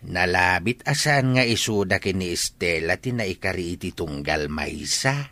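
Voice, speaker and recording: A man playing a jolly, obliging village neighbour in a radio drama, animated and reassuring. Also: low voice, normal speed, no room sound, exaggerated voice. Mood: musing. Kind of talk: Ilocano radio drama acting.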